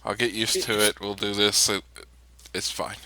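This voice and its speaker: A man with a lowish voice and a bright, pressing edge plays a tabletop role-playing game. He talks casually in character, halting and doubling back on his words. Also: steady tone, dry recording.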